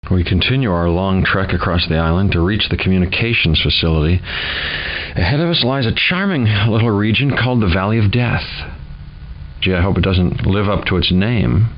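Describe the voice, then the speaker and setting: An actor playing a gloomy, wry scientist who narrates mission notes in a video game, dry and foreboding. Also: male voice, deep voice, fairly quick, natural voice. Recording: dull lo-fi game audio.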